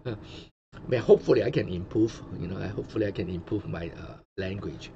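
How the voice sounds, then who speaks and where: Male host, Hong Kong accent, non-native English, rambling casual delivery, mellow tone, faint noise on a dull recording. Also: on the low side, fast, gravelly. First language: Cantonese. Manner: rambling, many fillers and restarts, chuckles often. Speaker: channel host. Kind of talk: casual commentary livestream.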